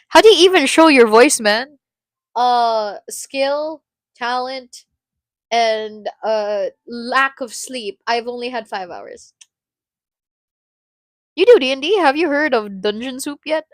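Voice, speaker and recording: A woman's voice: a little high, lively intonation, slow, no echo. A woman from the Philippines chatting casually on a live stream, rambling and cutting herself off, laughing often.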